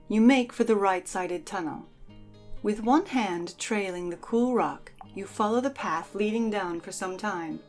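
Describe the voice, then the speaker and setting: A woman's voice: mid-range voice, a little mellow. A woman reading dramatic second-person adventure narration as a storyteller. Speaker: podcast host and narrator.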